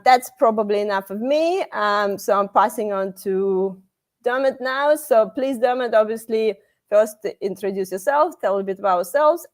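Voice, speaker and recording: A woman hosting a contest on a video call, speaking informally with filler sounds. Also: mid-range voice. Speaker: event host.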